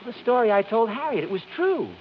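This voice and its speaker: A man's voice: very high voice, very animated, fast talker. An American man acting a genial, sheepish, teasing husband in a 1940s radio comedy. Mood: confiding. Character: genial, flustered sitcom husband, bluffing and teasing. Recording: dull old radio recording, slight noise.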